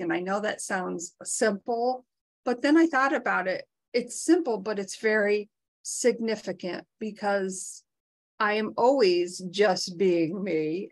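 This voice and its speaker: A woman co-hosting a live talk show over a video call, talking in a frank, down-to-earth, self-deprecating way. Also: medium pitch. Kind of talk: casual motivational chat.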